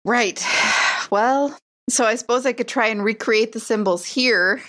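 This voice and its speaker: A woman's voice: a little high, measured pace, bright, forceful tone. A woman roleplaying casually as a player in a tabletop game.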